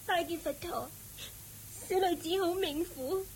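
An actress playing a sorrowful, humble young widow in a radio drama, plaintive and meek, with a Hong Kong Cantonese accent. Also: female voice, extremely high pitch, a little slow, a little mellow, slight background noise, low-quality recording. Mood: grieving, tearful. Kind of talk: Buddhist radio drama acting.

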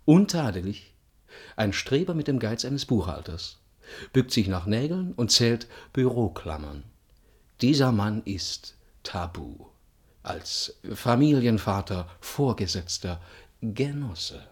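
An actor playing a cynical office colleague in a radio play, husky, with dry, mocking, clipped phrases. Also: male voice, lowish voice, sing-song, slow pace, exaggerated voice.

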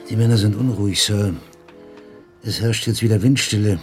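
An actor playing a dutiful, uneasy ship's lieutenant in a radio drama, formal and deferential. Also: male voice, on the low side, steady tone, normal speed, soft background music, lightly acted. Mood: uneasy, respectful. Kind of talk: German radio drama acting.

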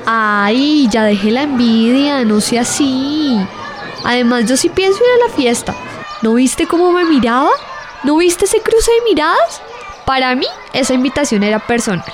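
A woman plays a lovestruck teenage schoolgirl in an amateur radio drama. Her voice is very high, and she is excitable, dreamy and stubborn about her crush. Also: measured pace. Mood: defensive, hopeful.

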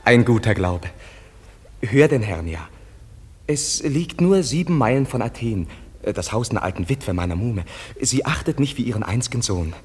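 A man's voice: mid-range voice, expressive, normal speed, breathy edge, in a large hall. An actor playing a passionate young nobleman in love, declaiming lyrically in a classical verse radio drama. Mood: tender, lamenting. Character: ardent, lovelorn young nobleman, defiant suitor.

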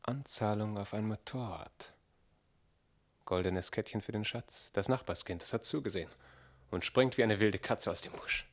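Young cynical charmer in a radio drama, brooding, deep with lots of creak. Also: male voice, normal speed. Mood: calculating. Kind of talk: German radio play monologue.

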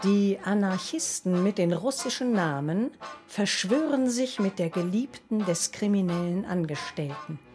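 A woman reading scripted radio feature narration in a measured, terse manner. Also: mid-range voice. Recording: music under the voice. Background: music.

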